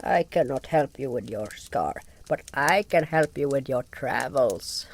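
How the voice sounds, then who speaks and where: An old amphibian healer from a fantasy audio drama, placid and forgetful. The creature talks slowly in broken, simple phrases, swinging up and down in pitch. There is some noise on the recording. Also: male voice, expressive, vocal fry. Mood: gentle, placid. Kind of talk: audio drama character acting.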